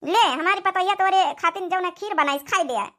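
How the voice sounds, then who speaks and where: A woman's voice: very high voice, fairly quick, pushed and bright. A woman voicing a blunt, scolding middle-aged village mother-in-law with an Awadhi accent in a cartoon comedy. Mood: matter-of-fact. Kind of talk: dialect cartoon comedy acting.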